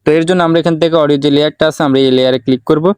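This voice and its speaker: A man's voice: on the high side, steady tone, rapid-fire, a little mellow, clear, resonant tone, no echo. A man narrating a step-by-step tutorial, explaining repetitively and mixing in English words. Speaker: tutorial host.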